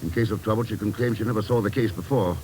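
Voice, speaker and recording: Man playing a shrewd, measured radio investigator. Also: fairly low pitch, mellow, a little hiss or hum, lightly acted, rough recording. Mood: shrewd, deducing. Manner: measured, deliberate, wry. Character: cool, shrewd, dryly witty male investigator. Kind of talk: 1940s-50s American radio drama acting.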